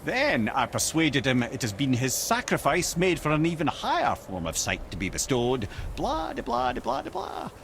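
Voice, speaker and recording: Wry old Scottish storyteller over noisy ambience. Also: male voice, mid-range voice, wildly swooping pitch, normal speed, hardly any pauses, fairly bright tone. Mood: storytelling, confiding. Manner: wry, eloquent storyteller with theatrical flourishes. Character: witty, wry old counselor, a well-travelled talking head. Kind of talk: video game character dialogue.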